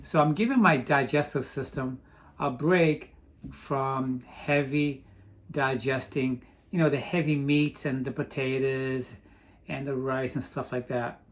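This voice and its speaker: A man's voice: mid-range voice, steady tone, unhurried. A man from Portugal chatting casually in a vlog, rambling with frequent restarts. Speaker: vlogger. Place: home in Florida.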